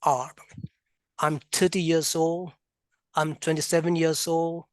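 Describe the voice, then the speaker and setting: A man with a Karbi accent teaching an English class, drilling words and sounds slowly and having students repeat. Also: fairly high pitch, little pitch movement, no echo, clean studio sound. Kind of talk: classroom lesson, mixing local language and English.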